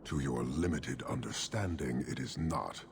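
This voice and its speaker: Giant horned commander, bottomless bass, gravelly, disdainful, formal, measured, game cutscene. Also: male voice, slight background noise, full character voice. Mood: stern, lecturing. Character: stern, contemptuous giant horned warrior commander. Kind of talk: video game cutscene acting.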